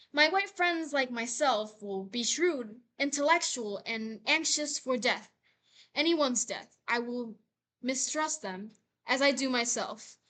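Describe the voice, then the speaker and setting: A young woman voicing a tormented, self-loathing young intellectual in a measured dramatic reading. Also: sits high, fairly bright tone.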